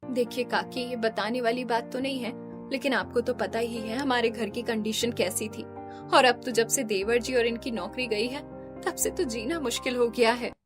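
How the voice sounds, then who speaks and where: A woman's voice: fairly high pitch, steady tone, normal speed, over music, lightly acted. A woman playing a kind, hopeful young daughter-in-law who speaks in an earnest, reassuring way in a cartoon.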